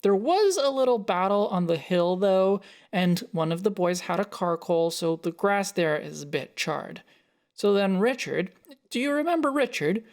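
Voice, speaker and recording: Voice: medium pitch, expressive, normal speed, lightly acted. A narrator voicing a chatty, caring mother with a soothing manner in a short story.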